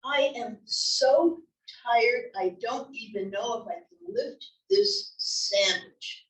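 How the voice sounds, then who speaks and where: A woman's voice: a little high, big pitch swings, takes it slowly, a bit bright, exaggerated voice. A woman playing a no-nonsense old wife, dry and impatient, in a comedy table read. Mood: weary. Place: library room, table read. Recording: echoey library room, distant mic.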